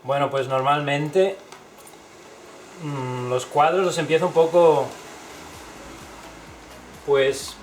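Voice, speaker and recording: A man in his forties, from Spain, talking about his painting in a relaxed, thoughtful way with many fillers. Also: fairly high pitch, slow, pauses often, faint music behind, poor audio. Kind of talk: casual documentary interview.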